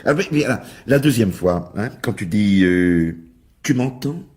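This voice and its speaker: An actor playing a bossy, effusive theatre director in a French radio drama, brisk and patronising with endearments. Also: male voice, on the low side, lively intonation, a little mellow. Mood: effusive, instructive.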